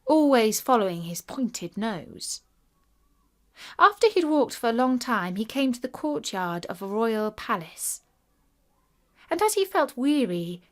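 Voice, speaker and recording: A woman narrating a scripted fairy tale for children in a deliberate, expressive bedtime reading. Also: on the high side. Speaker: storyteller.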